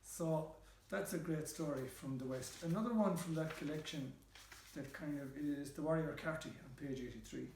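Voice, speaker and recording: A man's voice: a little high, normal speed, a little mellow, roomy sound, a little hiss or hum, rough recording. A man with a west of Ireland accent guiding an informal literary tour as a storyteller. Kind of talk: informal guided-tour talk with readings aloud.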